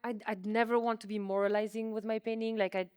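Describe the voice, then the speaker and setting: A woman's voice: medium pitch, little pitch movement, quick pace, a little mellow, smooth, no room sound, studio quality. A woman whose native language is French, speaking informally and self-deprecatingly in a conversational artist's talk. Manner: informal, self-deprecating, hedging with many likes. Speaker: artist, interviewee. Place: museum exhibition space.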